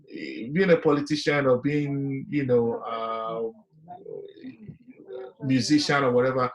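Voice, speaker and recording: A man's voice: on the high side, low-quality recording. A man with a Nigerian accent, speaking as a guest panelist in an earnest, motivational way, with many fillers and restarts. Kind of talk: casual webinar discussion.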